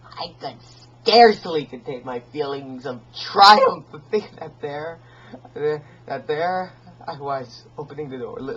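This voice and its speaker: A man voicing a manic madman in a gothic horror story, halting and stammering as he repeats words and swings between hushed and agitated speech. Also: on the low side, close to an everyday voice. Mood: gleeful. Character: manic, stammering madman gleefully confessing his stalking of an old man. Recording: dull lo-fi home recording, faint noise.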